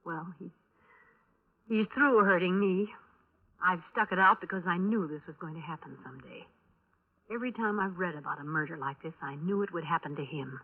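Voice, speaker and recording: A bitter American widow in her early thirties from a vintage radio crime drama. She speaks bluntly and with control, her pitch a little low for a woman. The recording is tinny and narrow, like a 1950s broadcast. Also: normal speed. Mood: hurt, resolute.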